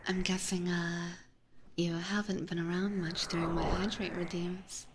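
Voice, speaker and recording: A woman livestream host chatting casually in a soft, breathy ASMR style, often whispering, close to a binaural microphone. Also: lowish voice, flat delivery, a little slow. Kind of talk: ASMR casual chat with viewers. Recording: noticeable background noise, close microphone.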